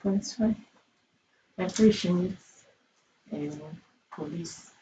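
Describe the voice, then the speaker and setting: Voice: medium pitch, slow pace, mellow, dull audio, a little hiss or hum. A person with a Nigerian accent chatting casually in a voice note, informal and slipping into Pidgin. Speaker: storytelling channel creator.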